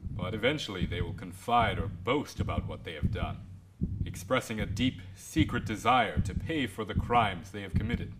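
An actor playing a polite, smug police detective with a measured, insinuating manner in a radio drama. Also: male voice, fairly low pitch, natural voice. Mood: smooth, insinuating. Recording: clearly noisy radio drama recording.

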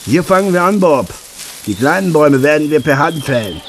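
An actor playing a matter-of-fact boss of a logging crew in an audio drama. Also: male voice, a little high, expressive, a little fast, a little hiss or hum. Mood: businesslike.

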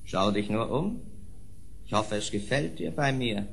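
Gloomy oversensitive sick lord, old audio drama, jumpy pitch, halting and highly strung, slight noise. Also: male voice, lively intonation, vocal fry. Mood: brooding, effusive. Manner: agitated, broken, halting speech with sudden outbursts. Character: sickly aristocrat, high-strung, morbidly oversensitive, melancholic. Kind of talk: German radio play acting.

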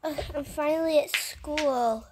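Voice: high voice, measured pace, background noise. A video creator narrating an improvised pretend-play story. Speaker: video creator narrating a toy-app roleplay.

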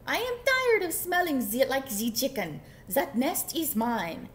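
A woman's voice: high-pitched, huge pitch swings, unhurried, pitched above the performer's own voice, heavily acted. A woman voicing a dramatic, bossy female storybook duck with a put-on French accent and theatrical exclamations. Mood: bossy, fed up. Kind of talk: children's picture-book character voice.

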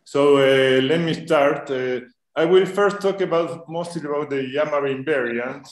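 A man's voice: fairly high pitch, measured pace, reverberant. A man with an Argentinian accent giving a math talk over a video call, hesitant and full of fillers. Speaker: invited math speaker. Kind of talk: academic lecture on video call.

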